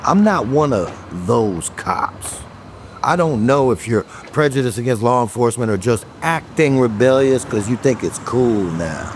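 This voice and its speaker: An actor playing a weary, gruff police detective in a horror audio drama, with a wry, guarded, commanding manner. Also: male voice, mid-range voice, expressive, measured pace, slight background noise, close to an everyday voice. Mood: wry, needling.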